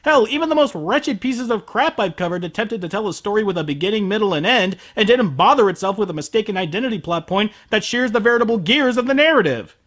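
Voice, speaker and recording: Male reviewer, lively swooping delivery, sarcastic and profane rants. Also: sits high, quick pace, few pauses. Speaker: anime reviewer.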